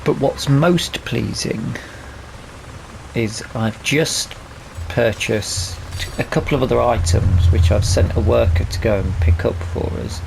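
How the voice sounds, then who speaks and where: Gravelly, unhurried man doing game commentary. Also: mid-range voice. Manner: rambling, thinking aloud. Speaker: gaming video host. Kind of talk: casual let's-play commentary. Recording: clear background game vehicle noise.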